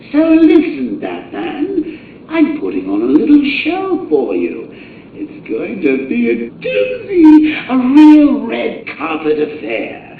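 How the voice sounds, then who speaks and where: Taunting clown villain. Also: male voice, medium pitch, reverberant, distant mic, narrow, tinny audio, slight background noise, exaggerated voice. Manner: theatrical, taunting showman. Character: gleeful, murderous grown man clown criminal. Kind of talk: video game voice acting.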